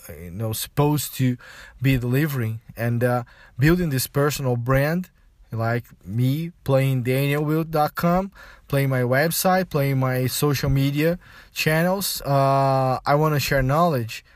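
A man from Brazil, a native Portuguese speaker with a Brazilian accent, hosting a casual solo podcast in a halting, explanatory manner with frequent filler phrases. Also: mid-range voice, no room sound, studio quality. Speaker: podcast host.

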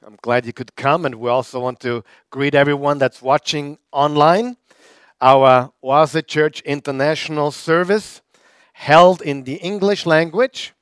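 Male pastor, crisp dry voice. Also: fairly high pitch, fairly even intonation, a little slow, no echo. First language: German. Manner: conversational preaching with repetitions and fillers. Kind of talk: sermon.

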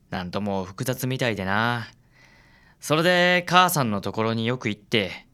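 Man playing a bright-voiced Kansai young father. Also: fairly low pitch, smooth. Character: easygoing, understanding young father. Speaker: amateur radio drama actor. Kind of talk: radio drama acting.

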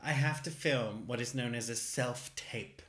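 Animated Australian man, roomy echo. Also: medium pitch, measured pace, crowd noise. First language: English. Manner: animated, camp, laughing often. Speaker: podcast co-host. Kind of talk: casual chat.

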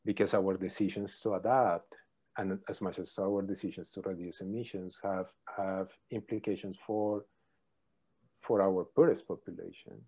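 A middle-aged man, a professor answering interview questions thoughtfully, pausing often with ums. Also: low-pitched, fairly even intonation, dark tone, slightly rough. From Colombia. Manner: thoughtful, hesitant with many ums. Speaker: professor, podcast guest.

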